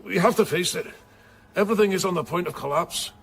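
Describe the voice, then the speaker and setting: A man's voice: high voice, fast, creaky, fairly bright tone, slightly rough, heavily acted. An actor playing a defensive government health official in a radio drama, formal and careful in his speech. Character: defensive male government health adviser or minister. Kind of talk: naturalistic radio drama acting.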